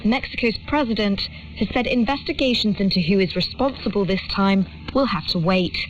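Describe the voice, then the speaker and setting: A woman's voice: medium pitch, normal speed. A woman reporter reading a scripted news report, heard over shortwave radio. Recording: dull shortwave radio broadcast.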